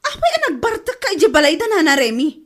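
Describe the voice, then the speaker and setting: Female actor as scolding village wife, fed up, Ilocano accent, high voice with animated swings, comedy radio drama. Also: brisk, full character voice. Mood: scolding, exasperated. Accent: Filipino, Ilocano. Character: exasperated, nagging wife scolding her drinking husband.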